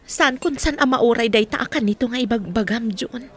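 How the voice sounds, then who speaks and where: A woman's voice: a little high, a little fast, a bit bright, husky. A Filipino woman acting a hesitant young woman in a radio drama. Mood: troubled, earnest. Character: Margie, hesitant young woman, daughter with marriage doubts.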